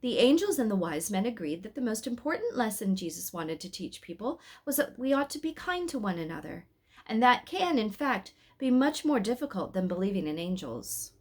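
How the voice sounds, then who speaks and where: A woman's voice: medium pitch, sing-song, moderate pace. Woman reading as an earnest, reasonable mother. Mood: earnest protest. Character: mother gently protesting, reasonable.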